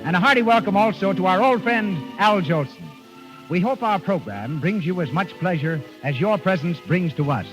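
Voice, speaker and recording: High-pitched, animated 1930s radio announcer man. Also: moderate pace, over loud music. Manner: polished, declamatory sales delivery. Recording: dull, lo-fi 1930s radio broadcast.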